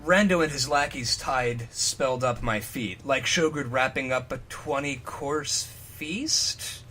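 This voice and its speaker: Man acting cocky rhyming student wrestler, slow emphatic delivery, comic story reading, faint noise behind. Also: medium pitch, bright, forceful tone. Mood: exasperated rhyming. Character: rhyming young male student, cocky rapper-wrestler type.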